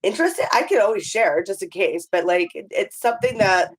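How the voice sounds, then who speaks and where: A woman's voice: medium pitch, expressive, a little fast, breathy edge. A woman from Canada chatting on a call, with a scratchy voice and a cough after being sick, laughing often and joking about herself. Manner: giggly, self-deprecating. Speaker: guest reader. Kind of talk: casual video call chat.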